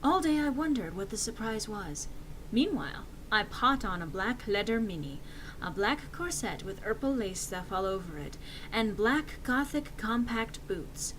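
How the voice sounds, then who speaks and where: A woman's voice: medium pitch, expressive, a little slow, a little hiss or hum, poor audio. A woman giving an exaggerated dramatic reading of fan fiction in a theatrical manner. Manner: theatrical, swinging into shouts for quotes.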